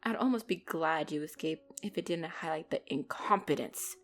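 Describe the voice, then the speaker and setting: A woman's voice: deep voice, moderate pace, soft background music. A woman playing a commanding, possessive female mafia boss in a dramatic audio roleplay, condescending and controlled, lacing mock sweetness with threats. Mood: possessive, sly.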